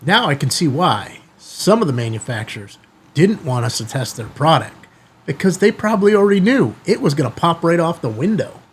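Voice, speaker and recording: A man's voice: on the high side, big pitch swings, moderate pace, husky. A man hosting a casual product review vlog, talking conversationally and emphatically in short bursts. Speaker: YouTube channel host.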